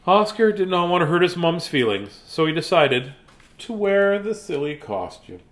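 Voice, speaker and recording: Animated man reading a bedtime story. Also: a little high. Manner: theatrical, with dramatic pauses.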